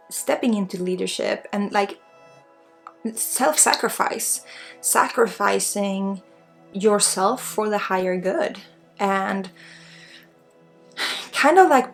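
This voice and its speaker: A Swedish-accented woman speaks as a spiritual guide in an intimate ASMR healing session. Her delivery is very unhurried and halting, with a soft, breathy tone that often sinks into whispers and plenty of vocal fry. Music plays quietly underneath. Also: mid-range voice. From Sweden. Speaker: spiritual guide, energy healer.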